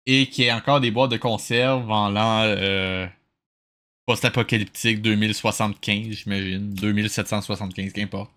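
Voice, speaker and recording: A man's voice: on the low side, steady tone, normal speed, fairly bright tone. A man with a Quebec French accent hosting a casual, sarcastic, joking reaction commentary. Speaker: reaction show host. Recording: clearly noisy background.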